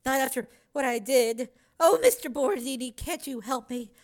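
A woman's voice: high-pitched, moderate pace, lightly acted. A woman voicing a frightened, tearful teenage girl in an audiobook, pleading and trembling as she talks. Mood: distraught. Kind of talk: audiobook character reading.

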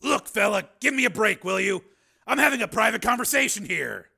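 A man's voice: sits high, expressive, brisk, creaky, slightly rough, voice pushed up, heavily acted. A man doing a haunted, tense cyborg man. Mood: angry. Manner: tense, clipped, forceful. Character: haunted male cyborg, weary and guarded.

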